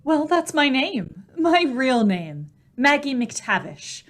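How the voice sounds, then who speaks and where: A woman acting a slow, dreamy, adoring wife. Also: high voice, sing-song, fairly bright tone, exaggerated voice. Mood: dreamy, gushing. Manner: lingering, gushing, affectionate. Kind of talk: old-time radio mystery acting.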